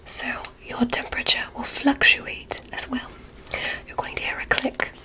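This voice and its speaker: A woman voicing a gentle, curious alien doctor in an ASMR medical roleplay, whispering soothing, softly formal instructions. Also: unhurried, close to an everyday voice. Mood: soothing, clinical. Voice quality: breathy whisper.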